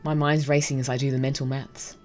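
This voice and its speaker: A woman's voice: a bass voice, level tone, fairly quick, darkish tone, soft background music. A woman reading a game's narration aloud with casual commentary, wry and amused. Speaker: game streamer.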